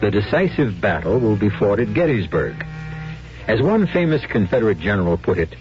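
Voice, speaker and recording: Music plays behind a low male voice on a muffled old radio recording. He narrates as a drama host, theatrical and measured, with very lively emphasis.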